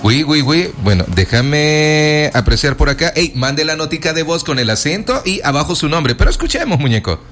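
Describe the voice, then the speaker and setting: A man's voice: on the high side, very animated, moderate pace, hardly any pauses, fairly bright tone, faint music behind. A man hosting a playful radio chat, teasing his cohost with pet names. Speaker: radio host.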